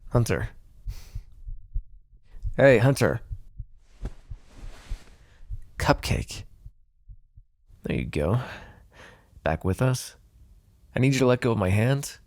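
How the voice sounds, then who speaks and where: A man's voice: medium pitch, big pitch swings, slow pace, gravelly, pauses often, a little mellow, no room sound, clean studio sound, heavily acted. A man playing a smug, teasing vampire in an intimate ASMR roleplay, sardonic and flirtatious, possessive but fond. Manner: sardonic, flirtatious, swearing, sighing.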